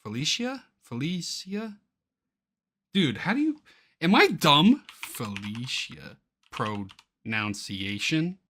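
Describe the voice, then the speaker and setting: A man giving casual reaction commentary as he reads aloud from a web page, excitable and quick to exclaim in disbelief. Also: on the high side. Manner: excitable, easily incredulous, exclaiming. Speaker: YouTuber reacting to a game's crowdfunding page.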